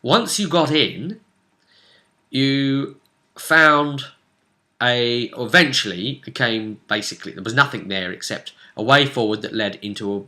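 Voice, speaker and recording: A man's voice: mid-range voice, unhurried, sharp tone. A man speaking as game master, casually recapping a tabletop game session and often thinking aloud as he goes.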